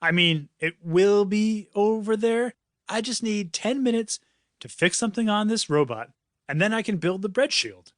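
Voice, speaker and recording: Man as earnest, rambling boy inventor, animated pitch. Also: moderate pace, no room sound, studio quality. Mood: sheepish. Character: Elias, distracted, apologetic boy inventor tinkering with robots.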